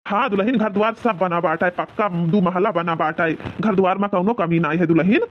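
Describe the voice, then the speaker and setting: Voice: mid-range voice, a little fast, lo-fi sound, a little hiss or hum, close to an everyday voice. A performer voicing an agreeable, reassuring village family man in an Awadhi cartoon comedy, with a coaxing manner and an Awadhi accent.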